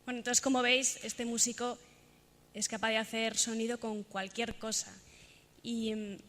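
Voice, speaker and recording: Woman presenting in a reverberant hall, even intonation. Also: a little high, a little slow. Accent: Castilian Spanish. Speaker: conference speaker. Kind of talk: prepared talk with recited verse and examples. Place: conference hall, indoors. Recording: echoey hall with long reverb.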